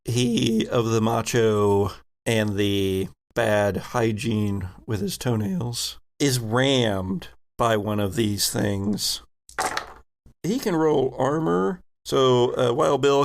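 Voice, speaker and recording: A man running a tabletop game as game master, improvising narration in a deliberate, descriptive way. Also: fairly low pitch, creaky.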